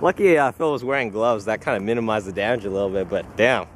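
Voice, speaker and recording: A man's voice: fairly low pitch, quick pace. A young man fishing from a riverside dock, chatting casually, laughing often and explaining tips to viewers, recorded on a body-worn action camera. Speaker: angler filming the outing. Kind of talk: casual outdoor fishing chat. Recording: outdoor camera audio, noise behind.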